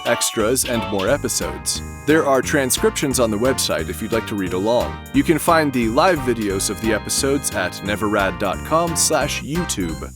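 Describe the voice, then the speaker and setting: A man reading scripted end credits as the announcer of an audio production. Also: medium pitch.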